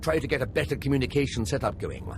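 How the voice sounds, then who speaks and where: A male actor playing an enthusiastic, scholarly military lieutenant in a video game, chatty, expressive and polite. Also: medium pitch, fast, gravelly, slight background noise. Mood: eager, musing.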